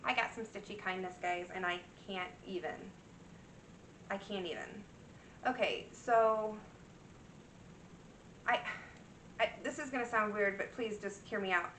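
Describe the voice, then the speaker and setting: A woman's voice: medium pitch, unhurried, long pauses, a bit bright, a little hiss or hum. A woman in her thirties chatting casually and rambling as she shows her craft projects, her voice a little worn. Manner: rambling, chatty, full of fillers and restarts. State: slightly worn voice. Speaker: cross-stitch vlogger. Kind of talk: casual show-and-tell chat. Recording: echoey room, mic at a distance.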